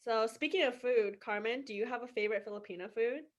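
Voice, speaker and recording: A woman from the United States, hosting a podcast and asking questions calmly. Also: fairly high pitch, fast. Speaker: podcast host. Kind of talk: casual podcast chat.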